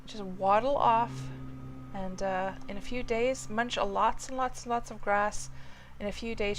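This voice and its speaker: A woman talks casually to her live-stream viewers, her middle-pitched voice meandering through fillers and restarts as she answers the chat. Music plays clearly underneath her. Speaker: game livestreamer. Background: music playing under the voice.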